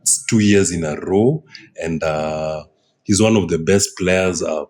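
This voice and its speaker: A young man hosting a casual podcast chat, excitable and repeating phrases for emphasis. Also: low voice, a little slow. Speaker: podcast host.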